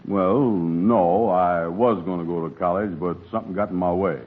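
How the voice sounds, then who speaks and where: An actor playing a lazy, slow-witted male pal with naive, drawling dialect speech, in 1940s radio comedy. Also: sits low, steady tone. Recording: tinny old radio broadcast with faint hiss.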